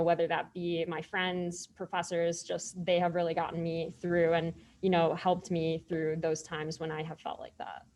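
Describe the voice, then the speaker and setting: A young woman with a low, flat voice speaks on a student panel. She talks in a measured way and pauses often on "um." Faint background noise sits behind her. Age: young adult. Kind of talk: casual personal story.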